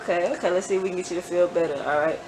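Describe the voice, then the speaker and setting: A woman's voice: on the low side, fairly even intonation, rapid-fire, noisy background. Upbeat woman interview host. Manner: upbeat, encouraging, frequent "okay" and "mm" backchannels. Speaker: host and channel owner. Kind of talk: casual interview.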